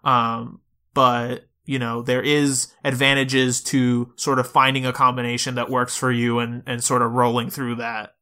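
Man, podcast host, bright forceful voice, mid pitch, casual gaming discussion, step-by-step explanations. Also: level tone, a little slow, clear, resonant tone. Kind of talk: casual video game discussion.

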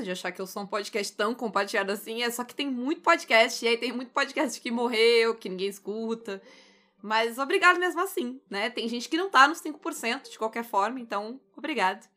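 Bright-voiced woman podcast co-host. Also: a little high, a little fast. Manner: wry, analytical, curious. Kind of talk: casual podcast chat.

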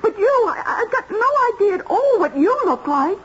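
Very high-voiced flustered housewife. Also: female voice, very animated, moderate pace. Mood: shy, curious. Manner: hesitant, folksy, flustered. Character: flustered, plain-spoken young housewife. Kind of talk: old-time radio drama acting. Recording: dull, noisy old radio recording.

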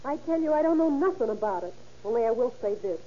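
An actress playing a tough, sassy convict's wife who stonewalls questioners in a 1930s radio crime drama. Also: female voice, fairly high pitch, animated, quick pace, mellow, soft background music, natural voice. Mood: defiant. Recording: muffled, noisy old radio recording.

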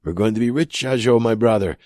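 A man voicing a grumbling, greedy male scrapper in a science fiction audiobook, with an excitable, snarling manner. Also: medium pitch, brisk, dry recording, studio quality, pitched above the performer's own voice, close to an everyday voice. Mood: gloating. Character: wary scrapper with a choked lung rig, grumbling and greedy.

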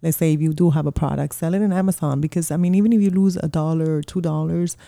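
A woman's voice: deep voice, fairly even intonation, fast talker, vocal fry, warm tone. A woman in her forties, a small business owner, chatting casually and rambling with lots of fillers in an interview. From Colombia.

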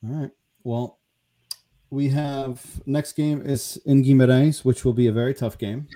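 A man hosting a casual sports podcast, relaxed and full of "um"s as he steers the discussion. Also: mid-range voice, unhurried. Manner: relaxed, filler-heavy, steers the talk. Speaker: podcast host.

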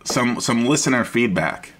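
A man co-hosting a casual podcast chat, with a gruff voice and a stammering, hesitant way of talking. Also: medium pitch, lively intonation, moderate pace, fairly bright tone. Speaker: podcast co-host.

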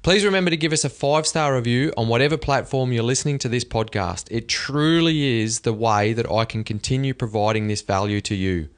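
A male podcast host delivers a polished, upbeat intro before moving into interview questions. His pitch sits in the ordinary middle range. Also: a little fast, hardly any pauses.

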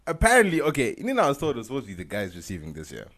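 Laid-back man, lively pitch. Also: racing. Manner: laid-back, puts on a low crooning "voice of love" for laughs. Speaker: podcast co-host. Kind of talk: casual chat.

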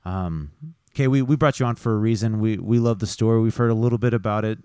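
Chatty male podcast host from Missouri, low smooth voice. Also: quick pace, a little mellow, dry recording, clean studio sound. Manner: upbeat, chatty, lots of "right, right". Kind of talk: casual podcast hosting. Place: barbershop.

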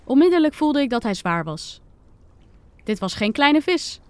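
A woman reading a scripted first-person children's story with expressive, dramatic delivery. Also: sits high, unhurried, smooth, no room sound. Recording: music under the voice.

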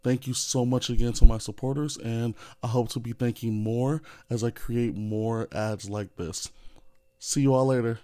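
A man's voice: mid-range voice, fairly even intonation, normal speed, mellow. A young man hosting a casual podcast interview, effusive and full of ums and likes. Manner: effusive, heavy with fillers. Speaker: podcast host.

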